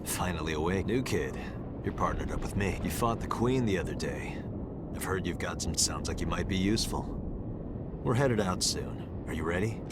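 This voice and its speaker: A man's voice: deep voice, moderate pace, heavy background noise, close to an everyday voice. A man playing a tough, battle-hardened squad leader in a video game, speaking in a terse, commanding way. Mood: brusque, sizing up. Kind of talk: video game cutscene voice acting. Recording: music loud behind the voice. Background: loud game music.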